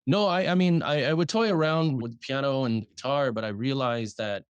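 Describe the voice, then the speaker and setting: A man, a writer and journalist, talking frankly in a casual interview, swearing now and then and restarting his sentences, speaking above his naturally deep voice. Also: normal speed, clean tone, no echo, muffled recording. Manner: frank, swears casually, repeats words when starting sentences.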